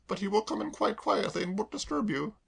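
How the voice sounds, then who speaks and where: A man voicing a warm-hearted young woman running a household. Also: high voice, big pitch swings, brisk, creaky, husky, voice pushed up, heavily acted. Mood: patient.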